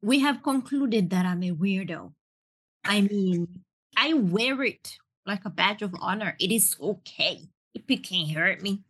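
A woman from Nigeria co-hosting a podcast, playful and teasing, with quick retorts. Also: medium pitch, animated, a bit bright, husky. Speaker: podcast co-host. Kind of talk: casual debate chat.

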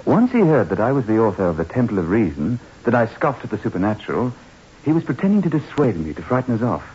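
A hissy, muffled old radio recording carries a confident husband. He speaks in lively, theatrical swoops, wordy and teasing, in 1960s drama style. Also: lowish voice, fast talker. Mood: playful, assured.

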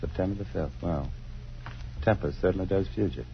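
A man's voice: deep voice, fairly quick, gravelly. An actor playing a charming, playful married man in love, suave and teasing in an old radio drama style. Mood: playful, romantic. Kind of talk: 1950s radio drama acting. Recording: muffled, noisy old radio broadcast.

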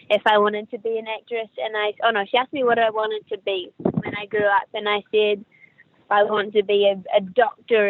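A woman's voice: mid-range voice, dry recording. A young woman with a New Zealand accent, an actress chatting in a friendly interview over a remote call. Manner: friendly, chatty, with restarts and laughs. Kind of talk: casual interview chat. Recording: tinny, dry call audio.

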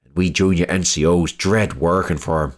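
An actor playing a weary junior serviceman, reading from a wartime diary in a measured, matter-of-fact way. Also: deep voice, fairly even intonation, lightly acted.

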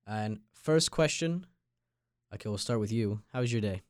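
A man's voice: lowish voice, lively intonation, moderate pace, no room sound, clean studio sound. A young man in his late teens from Long Island, New York, hosting a podcast and interviewing guests in a conversational way. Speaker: podcast host. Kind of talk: scripted intro and casual interview.

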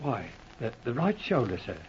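Servile butler, subdued and shaken, husky mid-range voice, muffled vintage radio sound. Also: male voice, medium pitch, very animated, moderate pace, darkish tone. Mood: nervous. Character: deferential, shaken butler giving testimony. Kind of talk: 1940s radio drama acting. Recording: muffled old radio recording.

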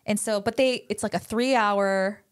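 Give me a chatty woman at medium pitch talking parenting, recorded dry and close. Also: steady tone, moderate pace, clear, resonant tone, no echo. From United States. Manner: chatty, many "like" fillers, voices quotes animatedly. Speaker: podcast co-host and parent. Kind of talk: conversational parenting advice.

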